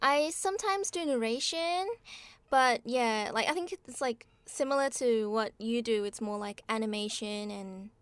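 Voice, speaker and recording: A young woman with a clear, smooth, slightly high voice chats as an interview guest. Her telling is friendly and lively, and the sound is close and dry. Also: unhurried, no room sound. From Australia. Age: young adult. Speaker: guest, voice actor. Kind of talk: casual interview chat.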